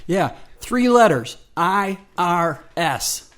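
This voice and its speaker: A man's voice: high voice, sing-song, drawn-out words, fairly bright tone. A man co-hosting a radio program with conversational commentary, emphatic and given to repeating phrases. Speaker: radio show co-host.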